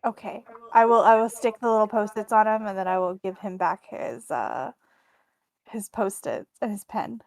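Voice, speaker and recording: A woman's voice: medium pitch, moderate pace, darkish tone, dry recording. A woman speaking casually as a player in a tabletop roleplaying game, improvising her character's actions.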